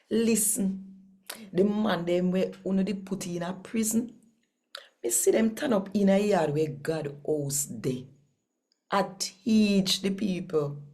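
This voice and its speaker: Jamaican lady reading scripture out loud, low voice, takes her time, real storyteller delivery. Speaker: host and scripture reader. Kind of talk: expressive scripture reading and video narration.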